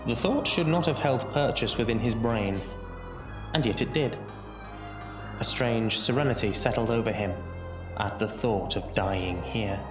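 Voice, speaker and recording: Low-voiced male storyteller in a roomy echo. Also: measured pace. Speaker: narrator. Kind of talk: dramatic scripted storytelling narration. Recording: roomy echo under music. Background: music.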